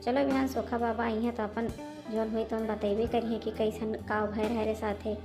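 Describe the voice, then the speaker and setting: Woman as an old village mother-in-law, cartoon, high voice, Awadhi accent, fretful, sly, rambling, music behind. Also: flat delivery, moderate pace, lightly acted. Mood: muttering, relieved. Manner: rambling, placating, muttering. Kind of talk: amateur cartoon comedy voice acting.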